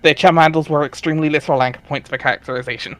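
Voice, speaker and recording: A man's voice: on the high side, fairly even intonation, rapid-fire, fairly bright tone. A man chatting casually as he explains background details to a group, often hesitant and searching for words. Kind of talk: casual chat, commentary.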